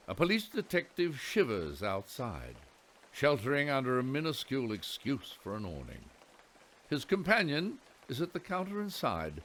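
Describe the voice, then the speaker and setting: A man narrating a radio play from a script. His voice is of medium pitch and moves expressively up and down through each descriptive phrase. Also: fairly bright tone, a little hiss or hum.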